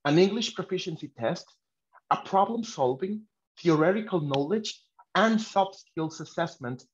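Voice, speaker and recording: Husky man reading a webinar script. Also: on the high side. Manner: reads from a script with hesitant restarts. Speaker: host. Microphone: video call. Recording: slightly muffled video call audio.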